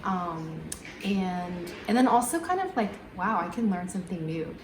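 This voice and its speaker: A woman answering interview questions thoughtfully, pausing often with ums. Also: on the low side, lively intonation, reverberant, noisy background. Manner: thoughtful, many ums and pauses.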